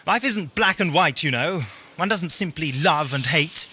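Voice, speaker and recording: Man acting an eccentric, flippant, lively babbling time-traveller. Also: a little high, measured pace, breathy edge, no room sound, heavily acted. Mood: earnest lecturing. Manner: wry, wordy, theatrical. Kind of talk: 1980s amateur audio drama acting.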